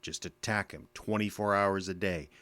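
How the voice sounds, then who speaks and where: An actor with an American accent playing a bitter, defensive middle-aged army veteran in an audio drama, gruff, sardonic and curt. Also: male voice, low voice, fairly even intonation, moderate pace, fairly bright tone, no room sound. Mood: bitter, argumentative.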